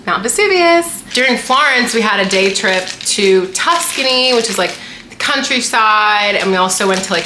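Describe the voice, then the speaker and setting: Young woman vlogger, bubbly chatty haul, bright tone, roomy echo. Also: medium pitch. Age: young adult. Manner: bubbly, chatty, many "like" fillers. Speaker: vlogger showing a travel haul. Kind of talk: casual chat to camera. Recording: roomy echo, faint room noise.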